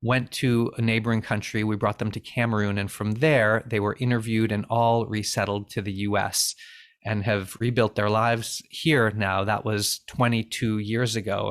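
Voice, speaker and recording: Earnest low-voiced man recounting a story. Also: a bit bright. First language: bilingual in Finnish and English. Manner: earnest, reflective, with frequent restarts. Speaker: interview guest, refugee aid founder. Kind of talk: conversational interview storytelling.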